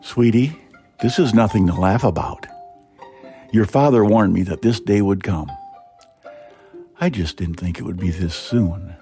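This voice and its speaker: A man voicing a worried, protective mother who speaks tenderly and gravely to her child in a short story. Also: fairly low pitch, unhurried, pauses often, mellow, soft background music. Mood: grave, concerned.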